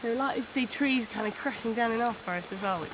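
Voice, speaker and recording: Chatty woman presenting outdoors. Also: fairly high pitch. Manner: curious, chatty, quick to laugh. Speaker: wildlife presenter. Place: outdoors in snowy cold country. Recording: outdoor field noise.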